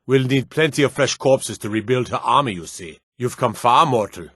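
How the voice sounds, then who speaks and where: A man's voice: mid-range voice, expressive, normal speed, bright, forceful tone, husky, no echo, clean studio sound. An actor playing a sinister male necromancer cultist in a fantasy video game. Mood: ominous.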